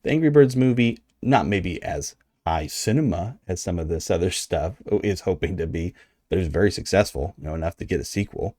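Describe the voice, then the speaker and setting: A man with a low, mellow American voice gives casual video commentary. He sounds conversational and informal with a wry edge, and the recording is close and dry. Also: animated, brisk, dry recording. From United States. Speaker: video host.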